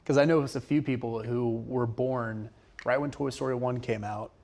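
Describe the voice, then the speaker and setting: A man's voice: mid-range voice, fairly even intonation, normal speed, a little mellow. A young American man chatting casually and informally as an easygoing co-host. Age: young adult. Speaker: local TV station chat co-host. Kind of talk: casual unscripted chat.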